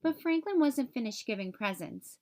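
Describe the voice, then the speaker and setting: Female storybook reader, high-pitched voice, expressive delivery for a young audience. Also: normal speed. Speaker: read-aloud host for young children. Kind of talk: children's storybook read-aloud narration.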